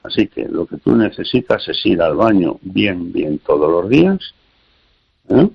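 A man's voice: deep voice, very animated, a little slow, gravelly, husky, highs cut off. A man giving health advice on a call-in radio show, hesitant and repeating small words as he explains.

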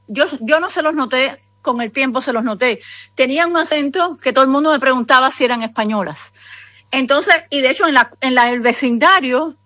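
A high-pitched woman in her fifties speaks with a Cuban accent, wandering through her memories with many asides. The sound is dry and close, with no room around her voice. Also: no room sound. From Cuba. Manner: rambling, warmly conversational, many asides. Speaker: guest author. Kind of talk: remote interview conversation.

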